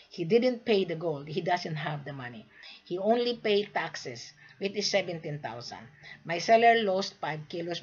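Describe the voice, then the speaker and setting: A woman's voice: lowish voice, darkish tone, slightly rough, muffled recording. A woman born in the Philippines, giving an emphatic, lecturing sales talk to traders and repeating her points. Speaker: gold sales agent. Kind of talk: direct-to-camera sales talk.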